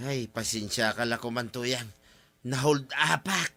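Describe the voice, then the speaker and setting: A man's voice: medium pitch, a little slow, bright, forceful tone. An actor with an Ilocano accent playing a flirtatious, hapless married village man in an audio drama. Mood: sheepish, pleading. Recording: music under the voice.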